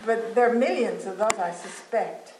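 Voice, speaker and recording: A woman's voice: medium pitch, moderate pace, a little mellow. A woman from Venezuela, speaking as a guest and telling her life story in a rambling, anecdotal way. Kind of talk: personal storytelling talk. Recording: echoey hall with faint noise.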